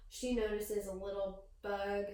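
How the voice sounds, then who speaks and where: Lady teacher reading a kids story out loud, asks the kids stuff, super echoey and kinda distant. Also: medium pitch, flat delivery, unhurried. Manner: addresses young listeners with questions and asides. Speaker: teacher reading a picture book aloud. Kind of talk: expressive read-aloud storytelling for young children. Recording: very echoey room, distant microphone.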